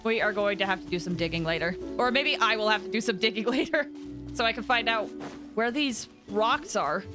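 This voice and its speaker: A woman livestreaming a game, chatting casually with viewers in a bubbly, expressive way as she thinks aloud. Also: medium pitch, fairly quick. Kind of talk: casual gameplay chat with viewers. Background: game music.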